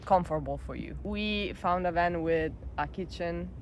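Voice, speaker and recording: A young woman from Italy with an Italian accent, chatting casually in a travel vlog, halting and laughing often. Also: on the low side, background noise. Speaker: vlogger.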